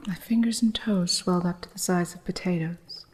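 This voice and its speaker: A woman voicing an eccentric witch shopkeeper who mentors her apprentice, speaking in soft, unvoiced whispers for an ASMR roleplay. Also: on the low side, normal speed, a little hiss or hum, close to an everyday voice. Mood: gentle whispering.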